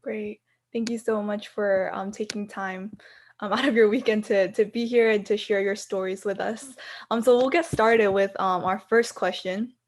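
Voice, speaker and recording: A woman's voice: mid-range voice, steady tone, normal speed, a little hiss or hum. A woman moderating an online webinar panel over a video call, in a friendly, um-filled way.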